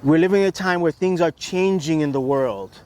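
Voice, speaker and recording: A man's voice: fairly high pitch, normal speed, darkish tone. A man being interviewed, giving advice in an emphatic way and building lists and rhetorical questions. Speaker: interview guest. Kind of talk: reflective advice-giving conversation.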